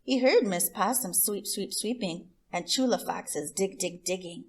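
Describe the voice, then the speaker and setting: A woman reading a picture book aloud to children as host, expressive, with deliberate emphasis on words. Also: mid-range voice, slightly rough. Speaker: children's story reader and host.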